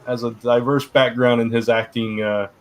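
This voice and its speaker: A middle-aged man co-hosting a casual live stream, rambling with lots of fillers and restarts, his voice turning hoarse. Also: mid-range voice, little pitch movement, moderate pace. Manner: rambling, many fillers and restarts, laughs often. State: getting hoarse. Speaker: live stream co-host, publisher.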